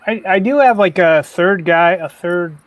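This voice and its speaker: A man with a somewhat high voice co-hosts a casual live sports stream. He talks in a loose, rambling way, filling his speech with "like" and "you know". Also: a little slow, no echo. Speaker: podcast co-host.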